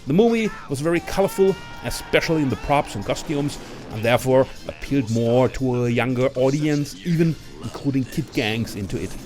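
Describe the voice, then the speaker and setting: A man's voice: mid-range voice, lively intonation, brisk, a little mellow, faint music behind. A man hosting a countdown video, reading a scripted film review as narrator. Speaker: video list host and narrator.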